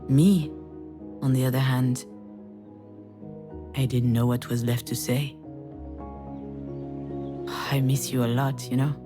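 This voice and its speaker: A woman's voice: rumbling low, steady tone, takes it slowly, pauses often, over music. A woman playing a weary, grieving, introspective mother with a wry edge in a dramatic video game. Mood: reflective, wistful.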